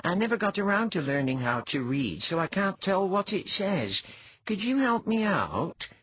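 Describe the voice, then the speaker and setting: A man voicing a polite, earnest and excitable young fighter in an audiobook story. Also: medium pitch, normal speed, phone-quality sound, a little hiss or hum, voice pushed up, natural voice.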